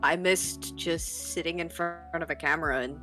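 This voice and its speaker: A young woman in her twenties with a deep voice, chatting casually and rambling on a live stream. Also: steady tone, moderate pace, sharp tone, soft background music. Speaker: streamer guest.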